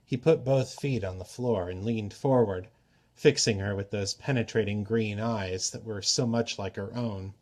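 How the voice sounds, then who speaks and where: A man's voice: on the low side, measured pace. A man reading a story aloud as a narrator, in scripted fiction style. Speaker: story reader.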